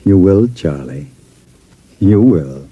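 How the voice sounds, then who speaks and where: An actor playing a cold, scheming crime boss with a commanding, sardonic manner in a 1950s radio drama. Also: male voice, low voice, animated, slow, phone-quality sound, very noisy. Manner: commanding, sardonic, silky menace.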